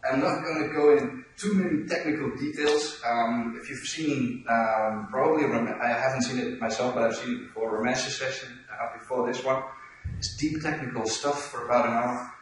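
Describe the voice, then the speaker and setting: A Dutch man in his forties with a Dutch accent, giving a relaxed, joking conference talk in a large lecture hall. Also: mid-range voice, moderate pace, few pauses, a little hiss or hum. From Netherlands. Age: 40s. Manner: joking, self-deprecating, chuckles often. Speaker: conference speaker. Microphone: room mic, speaker walking around stage. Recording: echoey hall, voice from far off.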